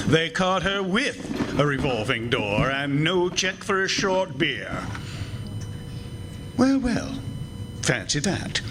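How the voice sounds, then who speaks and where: A man playing a pompous, genial petty thief with florid patter in an old-time radio comedy. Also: high-pitched. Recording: noisy live stage recording.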